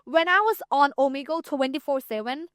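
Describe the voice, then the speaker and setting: A woman's voice: sits high, fairly quick, fairly bright tone, dry recording, clean studio sound. A young Thai woman with a Thai accent, a guest chatting casually and loosely, full of "like" and "you know". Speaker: guest, TikTok creator. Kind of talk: casual interview chat.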